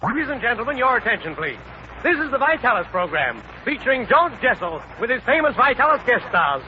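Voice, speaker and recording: A man's voice: very high voice, big pitch swings, fairly quick, music in the background. A man playing a formal, easily flustered radio announcer in a 1930s radio comedy. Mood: formal, booming. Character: bewildered, indignant male radio announcer at wrong studio. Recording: muffled 1939 radio broadcast, clear static.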